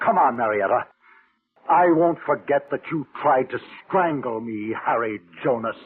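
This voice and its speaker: A man's voice: mid-range voice, normal speed, lightly acted. An actor playing an arrogant, scornful male stage illusionist in a vintage radio suspense drama. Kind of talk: 1940s-50s radio suspense acting. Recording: tinny, noisy vintage radio drama recording.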